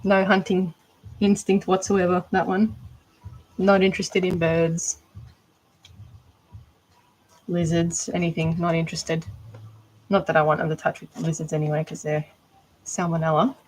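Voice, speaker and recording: A woman's voice: on the low side, level tone, measured pace, long pauses, darkish tone, rough recording. A woman with an Australian accent chatting casually during a livestream, rambling and thinking aloud while she crafts. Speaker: art doll maker.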